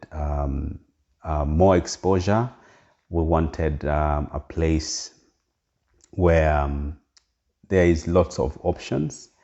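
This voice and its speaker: A middle-aged man with a Kenyan accent speaks straight to his viewers in an exceptionally low, deep voice. He talks earnestly and hesitantly, with many fillers and restarts. The sound is dull and lo-fi. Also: warm tone. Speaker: vlogger. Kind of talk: casual direct-to-camera talk.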